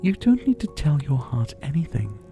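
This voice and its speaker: A man playing a suave, possessive vampire who was once a doctor, speaking to the listener in a theatrical, softly menacing ASMR roleplay. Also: mid-range voice, sing-song, moderate pace, soft background music, natural voice. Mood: menacing, intrigued. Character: older male vampire captor, former doctor, suave, possessive.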